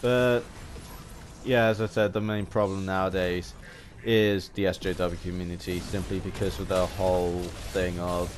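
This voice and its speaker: A man giving an unscripted opinion ramble as a gaming vlogger, halting and often restarting his phrases. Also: low voice, little pitch movement, a little hiss or hum.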